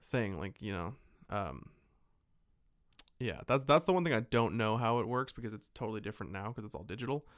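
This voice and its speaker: A man's voice: on the low side, lively intonation, fairly quick, dry recording, clean studio sound. A man co-hosting a podcast, chatting casually about films in a rambling, jokey way with some swearing. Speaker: podcast co-host. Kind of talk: casual film discussion chat.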